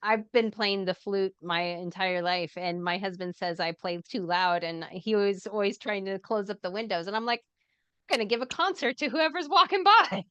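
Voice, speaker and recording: Smooth, friendly woman interviewer. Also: mid-range voice, moderate pace, fairly bright tone, no room sound, muffled recording. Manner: friendly, encouraging listener. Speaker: interviewer, host. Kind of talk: casual interview chat.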